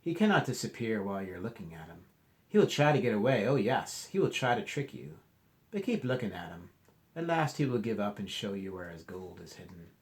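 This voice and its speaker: Guy doing a jolly old irish grandpa from a storybook, really animated, telling tall tales with big emphasis. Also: fairly low pitch, brisk. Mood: mysterious, emphatic. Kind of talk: storybook read-aloud character voice.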